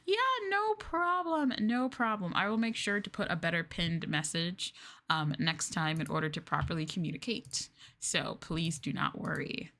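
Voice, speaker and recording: A woman's voice: lowish voice, expressive, moderate pace, a bit bright. Chatty woman streamer, bubbly delivery. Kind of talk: casual livestream chat.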